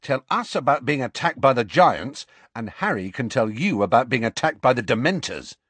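A curious teenage boy from a storybook played by a man, blunt and eager. His voice sits above the narration and swings about with animated pitch. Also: moderate pace, husky, dry recording.

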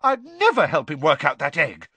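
A man voicing an indignant, bossy teenage schoolgirl in a storybook, shrill and emphatic. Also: big pitch swings, moderate pace, a bit bright, no echo, exaggerated voice. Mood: outraged.

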